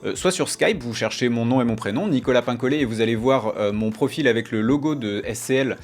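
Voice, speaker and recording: A man, presenting a casual music software tutorial on a close studio microphone in a home room. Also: medium pitch, brisk. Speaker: music production tutorial host. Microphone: studio microphone close in front.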